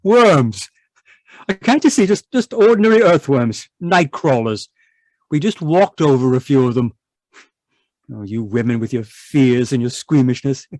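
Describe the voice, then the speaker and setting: A man's voice: mid-range voice, animated, a little slow, a little mellow, no room sound, close to an everyday voice. An actor playing a stern, irritable husband obsessed with his work, imperious and impatient, in old-time radio drama style. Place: live video call performance. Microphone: video call microphone.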